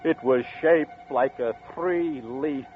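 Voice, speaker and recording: A man's voice: mid-range voice, slow pace, narrow, tinny audio, soft background music, lightly acted. An actor playing a frightened young man who narrates and stammers nervously, in 1940s radio style. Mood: tense narration. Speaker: narrator and lead. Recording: scratchy 1940s radio broadcast.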